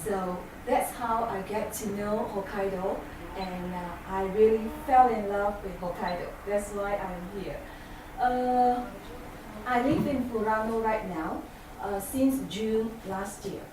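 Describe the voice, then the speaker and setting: A woman's voice: mid-range voice, takes it slowly, background noise. Singaporean woman speaking far off in an echoey hall. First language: Chinese. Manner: hesitant, many fillers. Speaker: guest speaker. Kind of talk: talk to an audience.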